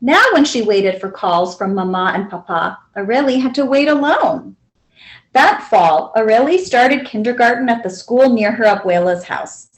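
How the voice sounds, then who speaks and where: Woman storyteller for children's picture books, high voice, lively intonation. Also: moderate pace, poor audio. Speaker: library storyteller. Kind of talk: children's picture book read-aloud.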